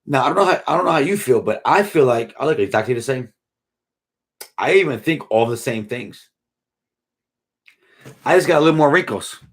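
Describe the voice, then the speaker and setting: A man's voice: mid-range voice, normal speed, pauses often. A man in his forties chatting casually on a live stream, reading viewer comments in a laid-back, slangy way, tired and yawning. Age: 40s. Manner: laid-back slangy, drifts from mumbling to excited.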